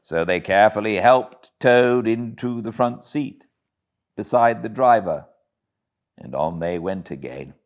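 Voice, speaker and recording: A man's voice: fairly low pitch, animated, measured pace. A man with a British accent reading a classic story aloud as an audiobook narrator, dramatic and theatrical. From Britain.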